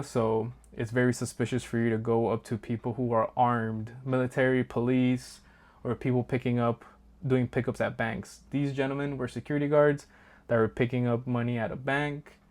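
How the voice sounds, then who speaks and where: A man hosting his own channel, talking casually through his street photos in a relaxed, rambling way with frequent ums and restarts. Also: medium pitch, moderate pace. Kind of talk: casual talk over a photo slideshow.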